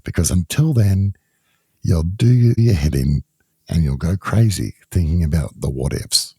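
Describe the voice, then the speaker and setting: A man guesting on a podcast, talking in a casual, measured, down-to-earth way, with a croaky voice. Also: low-pitched, darkish tone, no room sound. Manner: measured, down-to-earth, urges sticking to facts.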